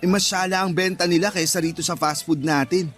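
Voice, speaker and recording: A man's voice: sits high, steady tone, brisk, fairly bright tone. A man playing a teasing, blunt young co-worker and best friend in a radio drama, joking and scolding as he talks. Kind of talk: Tagalog radio drama acting.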